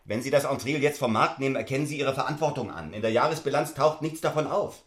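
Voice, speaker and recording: A man's voice: medium pitch, fast talker, natural voice. An actor as a brusque middle-aged man, echoey room. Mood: commanding, irritated. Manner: commanding, curt, condescending. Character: brusque, cynical middle-aged businessman lawyer and father. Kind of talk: theatrical stage-play dialogue.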